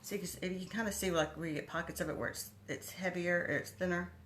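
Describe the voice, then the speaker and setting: A woman's voice: lowish voice, fairly even intonation, fast, fairly bright tone, slight background noise. A woman from Texas with a Texan accent, hosting a casual live cooking stream and chatting with viewers by name in a kitchen. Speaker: cooking livestream host. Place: home kitchen.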